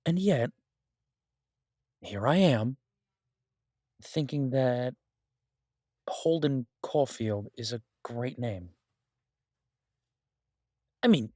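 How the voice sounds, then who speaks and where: A man playing a charming, restless mafia boss in an audio roleplay, rambling casually and intimately with self-mocking humor, mock boasts and hesitant pauses. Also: mid-range voice, no room sound, studio quality.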